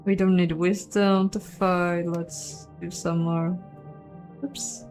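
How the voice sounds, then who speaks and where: Quick-talking woman playing a video game. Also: lowish voice, level tone, darkish tone, soft background music. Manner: playful, chatty, thinks aloud. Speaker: host of a game playthrough. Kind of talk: casual let's play commentary mixed with reading game dialogue aloud.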